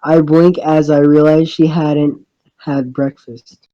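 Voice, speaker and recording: A man with a mellow, unforced voice reads story narration and dialogue aloud in an amateur way. His delivery halts and restarts. The microphone sounds a little dull. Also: a little high, flat delivery, slow pace. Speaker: reader voicing the protagonist of a fan visual novel. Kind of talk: amateur dramatic reading of first-person narration and dialogue.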